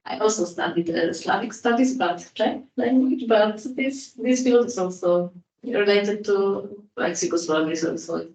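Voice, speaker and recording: Halting woman researcher in an echoey room. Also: medium pitch, a little mellow. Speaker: academic researcher and project coordinator. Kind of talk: informal self-introduction. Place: room at an academic event. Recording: dull, echoey room, distant microphone.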